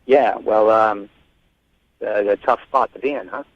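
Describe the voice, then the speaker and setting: A man's voice: lowish voice, unhurried, dry recording. A man in an unscripted phone conversation over a dull, lo-fi line. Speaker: prank call target.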